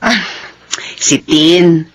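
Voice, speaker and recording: An actress playing an affectionate, chatty Filipino wife in a radio drama. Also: female voice, lowish voice, drawn-out words. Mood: casual, concerned.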